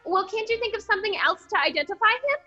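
A very high, bright voice for a ditzy, brazen young lady sleuth. She swoops around with blithe, chatty eagerness in a comic radio play. Music plays behind her in slightly muffled audio. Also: expressive, quick pace, full character voice. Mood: flustered, oblivious. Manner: breezy, chatty, blithely unconcerned. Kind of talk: comic old-time radio play acting.

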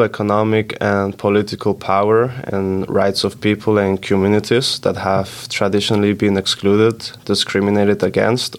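Low, flat-voiced young Swiss-born man. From Switzerland. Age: young adult. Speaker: podcast co-host. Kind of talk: student podcast, explaining terms.

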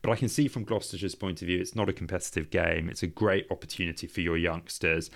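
A man's voice: low-pitched, quick pace, vocal fry. A man, co-hosting a cricket podcast in a conversational style.

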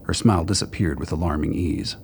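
A man with a gravelly voice reading scripted dramatic story narration. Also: low-pitched, a little fast, slight background noise.

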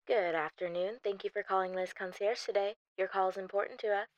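A woman's voice: medium pitch, steady tone, fairly quick, close to an everyday voice, rough recording. An actress playing a chatty, nosy and friendly receptionist who calls callers honey and girl, in a comedy sketch. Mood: polite.

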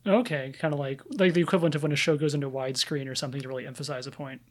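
Male podcast co-host, fast-paced, informal conversational delivery. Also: mid-range voice, fairly even intonation. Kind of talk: casual chat.

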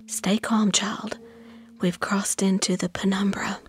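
A woman playing a calm, protective aunt in an audiobook, whispering guidance and folksy endearments to a child. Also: medium pitch, takes it slowly, lightly acted. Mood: soothing, hushed. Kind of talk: dramatized audiobook dialogue.

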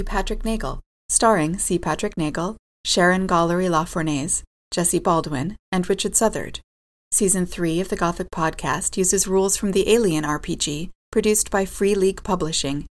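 A woman with a fairly low voice works as a podcast announcer. She reads scripted credits in order, as a prepared announcement.

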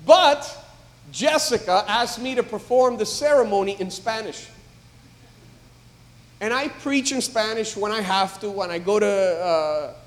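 A man, a pastor, preaching a sermon in a church, building from calm talk to emphatic, loud exhortation. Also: high-pitched. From United States. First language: English. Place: church sanctuary. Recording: long reverb of a large hall.

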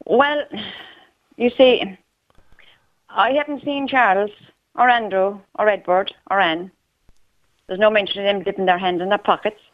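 A woman's voice: mid-range voice, a little slow, long pauses. A woman calling in to a radio show, blunt and argumentative. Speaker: caller. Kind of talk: call-in radio talk. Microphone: phone. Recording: muffled phone line.

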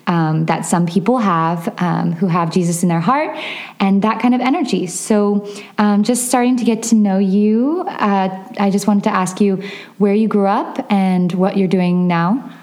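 A woman's voice: medium pitch, steady tone, measured pace, a little mellow. A woman in her thirties from Los Angeles County, hosting a podcast in upbeat, chatty conversation and laughing often. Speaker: podcast host. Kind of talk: casual interview chat.

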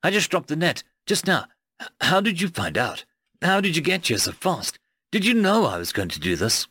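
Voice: medium pitch, very animated, fairly quick, creaky, fairly bright tone, dry recording, studio quality, heavily acted. A man voicing a hot-tempered, demanding human in an audiobook, with an insistent, snappish delivery. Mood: bewildered. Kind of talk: audiobook character voice.

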